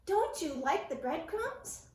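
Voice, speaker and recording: Woman reading small curious mouse, high-pitched and insistent, echoey room. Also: big pitch swings, slow pace, a little hiss or hum, full character voice. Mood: puzzled. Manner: inquisitive, insistent. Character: kind, curious little mouse cousin. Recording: roomy sound from a distant mic.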